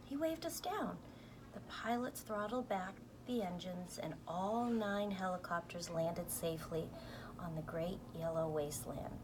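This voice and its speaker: Female storybook reader, slightly low voice, animated delivery, clearly noisy amateur recording. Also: a little slow. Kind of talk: expressive read-aloud of a children's novel.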